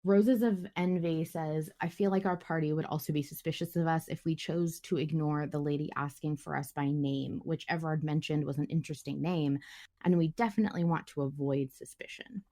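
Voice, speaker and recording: A woman's voice: low-pitched, steady tone, a little fast, warm tone. A woman hosting a casual podcast discussion, thinking aloud in a conversational way and sometimes reading out comments. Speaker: podcast host.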